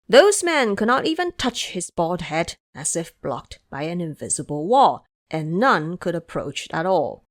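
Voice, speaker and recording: Woman narrator with lively, expressive pitch. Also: a little slow, few pauses. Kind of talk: dramatic scripted storytelling narration.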